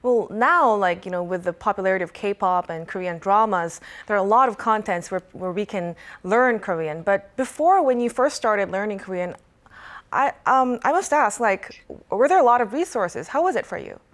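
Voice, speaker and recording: A woman's voice: mid-range voice, lively intonation, moderate pace. A woman anchors a broadcast interview and guides her guests with polite questions. She sets up each question before handing over to the guest.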